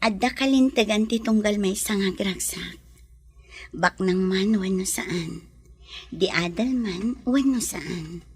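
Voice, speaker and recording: An actress playing a gentle, frail young woman in love, with an Ilocano accent and soft, pleading delivery in a radio drama. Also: medium pitch, slow pace, breathy edge. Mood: earnest. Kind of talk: Filipino radio drama acting.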